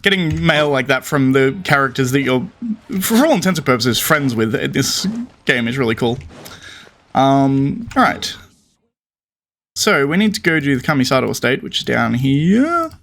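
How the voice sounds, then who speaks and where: A man's voice: medium pitch, normal speed, slight background noise. A man hosting a gaming channel, chatting casually and thinking aloud as he plays. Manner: relaxed, thinking aloud while playing. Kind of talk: casual let's play commentary.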